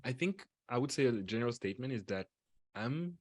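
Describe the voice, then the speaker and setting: A man's voice: mid-range voice, animated, a little fast, dry recording, clean studio sound. A man hosting a podcast on a video call, talking in an upbeat, easygoing way. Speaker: podcast host. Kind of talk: casual video call chat.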